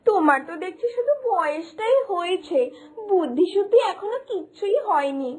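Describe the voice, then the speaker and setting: A woman's voice: extremely high pitch, animated, moderate pace, darkish tone. A woman playing a bossy, scolding village neighbour in a cartoon, nagging and know-it-all. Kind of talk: cartoon story acting.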